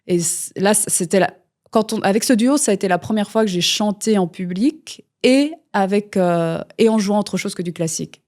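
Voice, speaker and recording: A woman's voice: medium pitch, moderate pace, a little mellow. A woman, a guest musician talking in a casual interview, rambling with many fillers and restarts. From Belgium.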